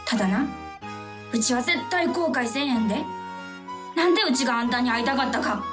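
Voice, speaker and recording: A woman's voice: a little high, lively intonation, brisk, natural voice. A woman playing a determined, big-hearted female taxi driver with a Kyoto accent, emphatic and theatrical in a comic radio drama. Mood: rousing resolve. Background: background music.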